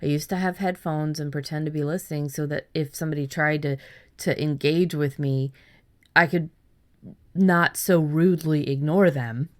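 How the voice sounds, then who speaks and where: Low-voiced casual woman podcast host. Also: steady tone, normal speed. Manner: blunt, swears freely, jokey.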